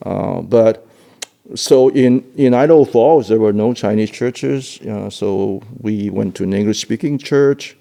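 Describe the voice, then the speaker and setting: An older man from Hong Kong giving an oral history interview, speaking haltingly with frequent repeated words and laughs. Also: fairly low pitch, creaky, mellow.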